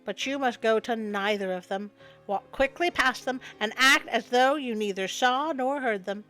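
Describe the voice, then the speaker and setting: A blunt old man of a folk tale, read out by a woman in a fairly high voice. The delivery is deadpan and instructive, storybook style, with soft music beneath. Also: normal speed. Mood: instructing. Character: blunt old man giving strange directions. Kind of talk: storybook character reading.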